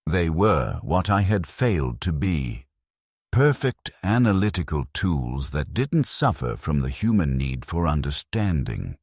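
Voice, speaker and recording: A man narrating a scripted first-person story in a somber, measured, bleak way into a close mic. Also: extremely low pitch, very animated, a little mellow, husky, dry recording.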